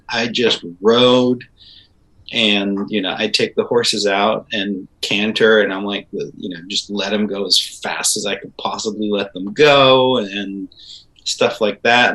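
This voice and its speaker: Bright-voiced Israeli-born man telling stories. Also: lowish voice, low-quality recording. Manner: animated storytelling with laughs and fillers. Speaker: composer guest. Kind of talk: casual interview chat.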